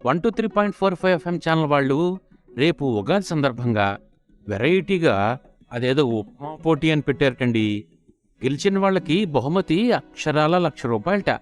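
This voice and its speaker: Male narrator as nagging wife, raised high pitch, bossy, excitable, theatrical, comedy audio story. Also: normal speed, faint music behind, natural voice. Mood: excited.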